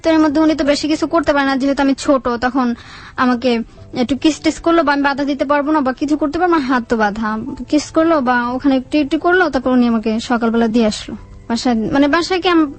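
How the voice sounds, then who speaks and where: A woman with a Bangladeshi accent tells a personal story in a radio interview, haltingly, repeating words and quoting what people said. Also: high-pitched. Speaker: guest telling own life story. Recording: music under the voice. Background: background music.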